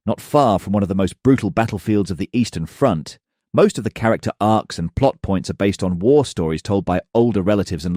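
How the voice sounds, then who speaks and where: Low, mellow man with lively, swinging intonation. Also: no room sound, studio quality. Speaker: game reviewer, channel host. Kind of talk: scripted review narration.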